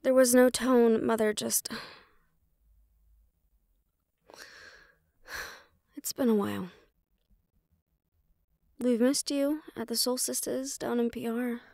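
A woman's voice: a little high, steady tone, a little slow, vocal fry, long pauses, darkish tone, dry recording, studio quality. A woman playing a defiant, loyal young banshee daughter in an audio roleplay, sarcastic and casual. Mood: guarded, wry.

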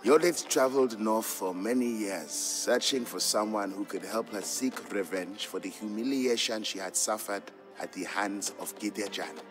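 An actor playing an Ethiopian trader and father, narrating old legends in a measured, fond storybook style for a game. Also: lowish voice, lightly acted. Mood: reflective, storytelling. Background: background music.

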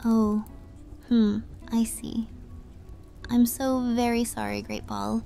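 Slow, mellow, gentle young woman acted. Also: on the high side, little pitch movement, creaky, faint music behind. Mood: sympathetic. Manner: soft, slow, often near whispering. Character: gentle, trusting, sympathetic young woman tea-leaf reader. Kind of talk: immersive fantasy roleplay audiobook acting.

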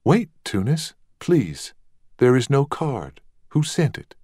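A man's voice: medium pitch, very animated, takes it slowly, darkish tone, husky, dry recording, clean studio sound, pitched above the performer's own voice, full character voice. A man voicing a genteel, curious young Southern woman in an audiobook, politely questioning.

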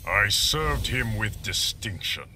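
A man's voice: on the low side, lively intonation, slow pace. A man playing a proud, stern, imperious warlord in a fantasy video game, speaking formally. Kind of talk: video game character acting. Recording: game ambience noise behind the voice.

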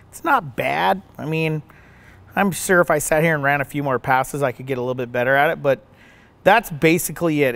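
A man's voice: fairly high pitch, fairly bright tone. A middle-aged man giving a casual welding demonstration in a workshop, folksy and conversational, often checking in with "okay?". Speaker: welding instructor. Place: large indoor welding workshop.

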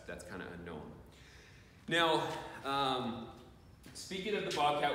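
A man's voice: fairly low pitch, fairly bright tone, slight background noise. A man in his forties giving a rambling, plainspoken equipment review in a large farm shed, with lots of fillers and restarts. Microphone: camera at a distance. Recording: echoey shed, voice far from camera.

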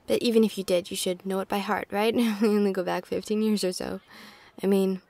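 An actress playing a young, quippy, rambling superheroine in an audio drama. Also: female voice, mid-range voice, level tone, brisk, vocal fry, mellow, no room sound, lightly acted. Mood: awkward regret. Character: wisecracking young superheroine, lonely but cocky.

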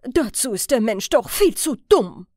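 A woman's voice: a little high, big pitch swings, a little slow, a little mellow, no echo, studio quality. A woman voicing a hot-tempered, boastful male master thief in an audiobook, scornful and emphatic. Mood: angry.

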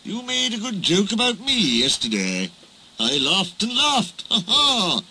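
A man's voice: sits high, huge pitch swings, measured pace, pushed and bright, a little hiss or hum, voice pushed up, full character voice, poor audio. A man voicing a scheming diesel engine from a children's storybook, oily, insinuating and falsely friendly. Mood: oily, gloating.

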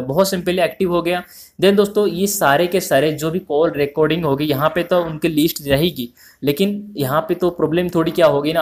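Male tutorial host, slightly high voice, informal and repetitive step-by-step delivery. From India. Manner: repetitive, step-by-step explaining, addressing viewers as friends. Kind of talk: informal phone app tutorial narration.

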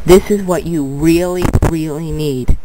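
A young man with a fairly high voice and a stuffed-up, congested nose explains things in a loose, rambling way. He keeps circling back with filler and restarts his words as he goes. There is a faint hiss under his computer mic, with mouse clicks around him. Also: fairly even intonation, unhurried. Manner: rambling, repeats "but anyway", restarts words. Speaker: tutorial video maker. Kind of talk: casual screen-recorded tutorial.

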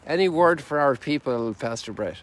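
A man's voice: medium pitch, animated, moderate pace. A man in his sixties, a priest hosting a reflective livestream chat outdoors. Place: outdoors on a lakeshore. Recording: outdoor ambience noise.

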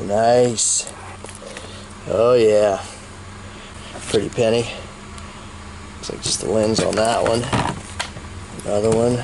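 Man, medium pitch, folksy, excited, rambling, casual vlog commentary, handheld camera mic, faint background noise. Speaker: YouTube vlogger and reseller. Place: metal storage unit, handheld camera. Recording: noisy handheld camera audio.

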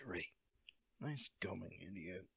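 A man's voice: deep voice, animated, slow pace, creaky, sharp tone, slightly rough, no room sound, exaggerated voice. A man playing a cocky college volleyball captain. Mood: teasing. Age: young adult. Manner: laid-back, laughs often, swears casually. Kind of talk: audio roleplay to a listener.

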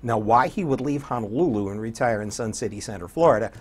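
An older American man with a husky voice, speaking as a radio DJ guest in a conversational studio interview. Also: lowish voice, animated, fast talker. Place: small indoor TV studio.

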